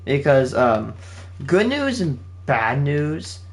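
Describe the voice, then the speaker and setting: A man's voice: on the high side, sing-song, slow pace. An eighteen-year-old man giving his channel's viewers a rambling, casual update from home, often restarting his sentences. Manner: rambling, with frequent restarts and fillers. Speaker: channel host. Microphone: home setup with game console running nearby.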